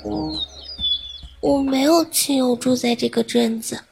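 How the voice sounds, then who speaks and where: A very high, girlish voice for a young carp-spirit maiden played by a woman in an audio drama. She is innocent, playful and full of curiosity, with light music behind her. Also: unhurried, vocal fry, a little mellow. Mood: hesitant. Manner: playful, naively inquisitive. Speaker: voice actress.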